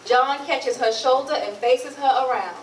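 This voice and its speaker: A woman's voice: high-pitched, unhurried. A woman narrating a play, reading out scripted stage directions. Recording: roomy, distant mic, noisy.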